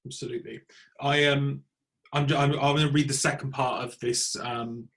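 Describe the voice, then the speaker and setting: A man with a British accent hosting a casual group discussion, speaking in a halting, hesitant way. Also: medium pitch, level tone, fairly bright tone. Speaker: podcast host.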